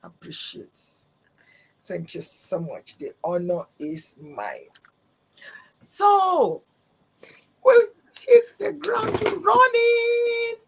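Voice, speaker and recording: A woman host with a gravelly, husky voice. She speaks slowly, with her pitch swooping widely, and she repeats phrases for emphasis. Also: big pitch swings, a little hiss or hum, poor audio. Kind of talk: interview show hosting.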